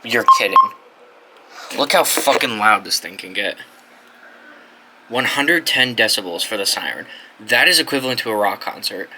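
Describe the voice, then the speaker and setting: A man's voice: lowish voice, expressive, normal speed, long pauses, bright, forceful tone, slight background noise, low-quality recording. A young American man from New England giving casual, informal hands-on commentary, thinking aloud and swearing freely in a dorm room. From New England, United States. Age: young adult. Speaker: video host reviewing a gadget. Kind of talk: casual unboxing and setup commentary.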